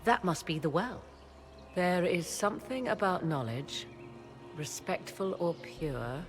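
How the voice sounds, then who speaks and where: Haughty lore-obsessed game sorceress, old-fashioned formal talk, lots of rise and fall, bit of music under it. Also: female voice, fairly low pitch, expressive, slow pace. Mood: studious, absorbed. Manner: formal, archaic, imperious diction. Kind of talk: dramatic video game acting.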